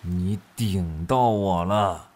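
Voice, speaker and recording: A man's voice: sits low, extremely slow, background noise. A man playing a stern, reserved young Alpha general in a modern audio drama. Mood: stern, controlled.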